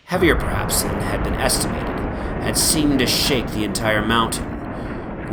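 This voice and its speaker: Male narrator, lower-pitched, formal and theatrical, gothic horror reading over an atmospheric noisy soundscape. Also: gravelly, sharp tone. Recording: background noise from an ambient soundscape.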